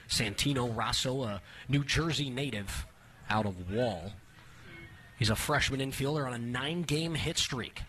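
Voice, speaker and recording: A man's voice: mid-range voice, slight background noise. A man calling baseball play-by-play for radio in clipped, descriptive phrases. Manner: clipped descriptive phrases, rising excitement on action. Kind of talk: radio sports play-by-play commentary.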